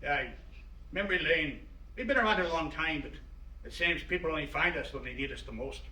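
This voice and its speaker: A man's voice: a little high, fairly quick, bright, forceful tone. A man voicing a curt, unsociable bartender in a play reading, with a dismissive manner. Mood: aloof. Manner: gruff, dismissive. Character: curt, unsociable male bartender of an old bar. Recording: roomy, distant mic, audible noise.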